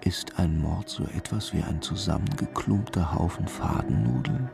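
Low, creaky, pensive police commissioner. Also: male voice, normal speed, over music. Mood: musing. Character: pensive, musing male police commissioner, quietly insistent. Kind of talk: German radio crime drama acting.

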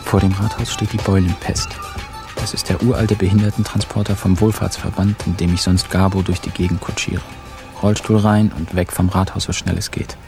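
An actor narrating a radio crime comedy as a wry, cheeky kidnapper, in a dry, colloquial way. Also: male voice, deep voice, flat delivery, brisk, vocal fry. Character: wry, cheeky male kidnapper telling his own caper. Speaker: first-person narrator. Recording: music behind the voice.

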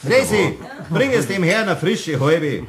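A man's voice: fairly high pitch, very animated, unhurried. A man playing a dry, conciliatory tavern regular in a radio play, speaking colloquially with a Bavarian accent. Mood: placating.

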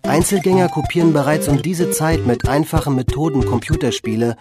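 A man's voice: a little high, a little fast. A man reading scripted narration for a radio documentary. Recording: loud music under the voice. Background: loud music.

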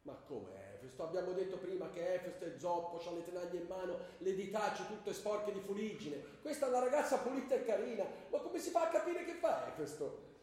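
A man's voice: sits high, lively intonation, a little fast, mellow. A man playing a hapless, childishly eager amateur actor in a radio stage comedy, exclaiming and repeating himself clownishly. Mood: baffled, protesting. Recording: very echoey hall with long reverb, faint noise.

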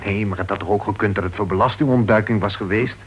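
An actor playing an earnest, lovelorn club board member in a Dutch radio play, asking insistent, probing questions. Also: male voice, fairly low pitch, fast, a little hiss or hum, lightly acted. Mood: insistent, puzzled. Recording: dull, muffled old radio recording.

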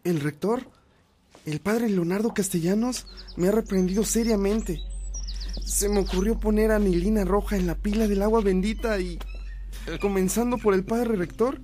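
A woman's voice: medium pitch, normal speed, dark tone, a little hiss or hum, lightly acted. A woman in a radio drama playing a worried, contrite young seminarian boy, confessing earnestly. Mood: anxious, contrite. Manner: anxious, confessing.

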